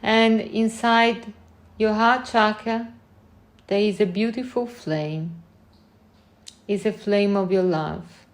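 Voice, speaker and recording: A woman guides a meditation at a very slow pace. She speaks gently in short phrases and leaves long pauses between them, with a mid-range pitch. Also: fairly even intonation.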